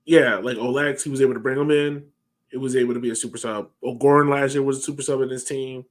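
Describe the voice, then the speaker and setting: A man's voice: mid-range voice, fairly even intonation, quick pace. An American man, a podcast host, casually discussing sports on a video call. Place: home room, on a video call. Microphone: headset on a video call.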